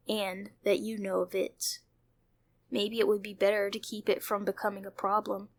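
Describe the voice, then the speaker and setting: A woman hosting a playthrough, reading visual novel narration aloud and adding her own commentary. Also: medium pitch. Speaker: host of a video game playthrough channel. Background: background music.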